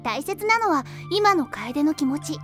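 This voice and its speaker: High bouncy anime teen girl, frank n teasing but caring, tinkly music behind. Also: sing-song, unhurried, fairly bright tone, full character voice. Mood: reassuring. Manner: casual, blunt, breezy. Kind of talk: anime audio drama acting.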